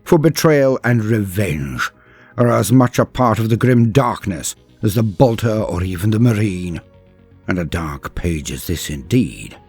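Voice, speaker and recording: Expressive, husky man narrating over music. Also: fairly low pitch, a little slow. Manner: formal, theatrical phrasing. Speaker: video host and narrator. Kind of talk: scripted narration.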